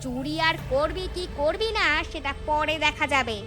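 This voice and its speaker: A woman's voice: high voice, moderate pace, natural voice. A woman voicing a greedy, bossy, scheming village wife in a cartoon folk tale. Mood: angry, threatening. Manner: bossy, scolding. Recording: clear music bed. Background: music.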